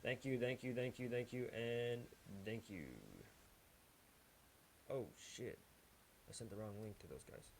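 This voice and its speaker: A man in the host seat of a livestream, keeping up loose, chatty talk while he gets the show ready. His voice sits at an ordinary middle pitch, and he talks up the coming guest with easy energy. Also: fairly even intonation, unhurried, pauses often, a little mellow, a little hiss or hum, poor audio.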